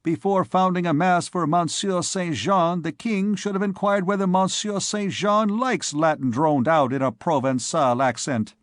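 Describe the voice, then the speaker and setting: Man as a high-voiced, bantering young scholar. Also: fairly even intonation, measured pace, few pauses, no echo, studio quality. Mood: teasing. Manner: bantering, theatrical. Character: teasing young male scholar, merry heckler.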